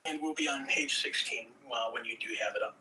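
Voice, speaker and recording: A man's voice: mid-range voice, brisk, bright, forceful tone. A man narrating a script and hosting a table reading, on an online group call. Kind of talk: scripted stage-direction narration with casual hosting. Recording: distant mic, faint background noise.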